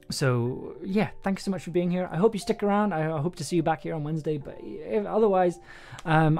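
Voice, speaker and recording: A man's voice: a little high, a little mellow. A young man hosting a casual livestream, sounding tired, rambling and often interrupting himself as he thinks aloud. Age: young adult. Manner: rambling, hesitant, self-interrupting. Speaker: livestream host, audio drama maker. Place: small indoor room at a desk.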